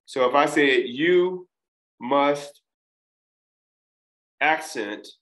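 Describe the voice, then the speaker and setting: A man of Trinidadian family teaching a recorded test prep lesson, speaking deliberately and enunciating each syllable. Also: fairly high pitch, a bit bright. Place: small room, indoors. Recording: echoey room.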